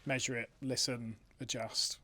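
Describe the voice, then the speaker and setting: A man's voice: medium pitch, little pitch movement, measured pace, sharp tone. A man speaking as a company representative, chatting casually about products in an interview.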